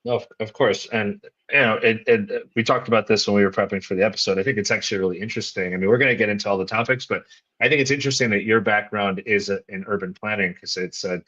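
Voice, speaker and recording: A man's voice: on the low side, quick pace, bright, forceful tone, lo-fi sound. A male podcast host asking long, curious, rambling questions with restarts over a remote call. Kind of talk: interview questions, casual.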